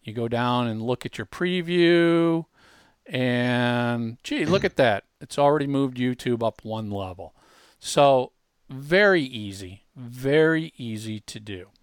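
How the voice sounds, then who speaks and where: A man's voice: medium pitch, lively intonation, takes it slowly, clear, resonant tone, no room sound, studio quality. Male tutorial presenter, conversational and emphatic, walking through steps casually in a medium register. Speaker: presenter demonstrating a web tool.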